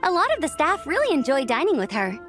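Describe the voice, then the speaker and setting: A woman's voice: sits high, wildly swooping pitch, fast, fairly bright tone, heavily acted. A woman playing a perky, friendly young contest staffer in a video game. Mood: upbeat. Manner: upbeat, chatty. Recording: faint music behind the voice.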